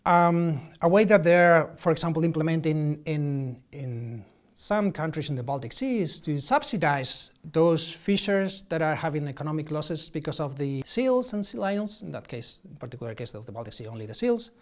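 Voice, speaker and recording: Male ecologist, 30s, slightly high voice, hesitant interview delivery on a lapel mic. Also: expressive. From Spain. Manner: halting, many restarts and ums, gestures while explaining. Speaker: marine ecologist. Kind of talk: interview answers to camera. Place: office with shelves, indoors.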